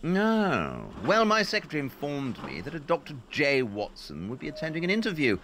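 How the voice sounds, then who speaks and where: A man's voice: a little high, huge pitch swings, moderate pace, a bit bright, a little hiss or hum. An actor playing a brilliant, self-assured male detective in an audio drama, explaining things in a clipped, superior, matter-of-fact way. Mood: smug, explaining.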